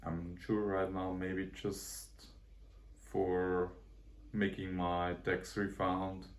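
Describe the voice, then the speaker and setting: Deep, slow, flat-voiced man. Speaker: interviewee. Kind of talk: brief, halting interview answers.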